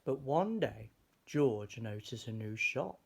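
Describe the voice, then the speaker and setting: A man reading a children's picture book aloud in a deliberate, expressive way. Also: medium pitch, a little hiss or hum. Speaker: storybook reader.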